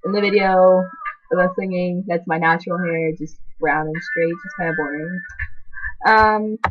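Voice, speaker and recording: A woman's voice: fairly low pitch, fairly even intonation, normal speed, highs cut off. A teenage girl chatting to camera about herself in a rambling way, filling her talk with "like". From half Chilean, half American. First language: Spanish. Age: teens. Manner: chatty, rambling, constant "like" filler. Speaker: channel co-host introducing herself. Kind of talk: casual talking-to-camera vlog.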